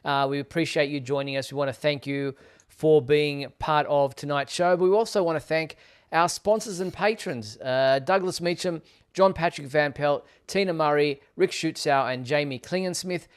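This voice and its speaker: A man's voice: a little high, normal speed, clean tone. A man hosting a live online fan talk show, addressing viewers in a courteous, appreciative way.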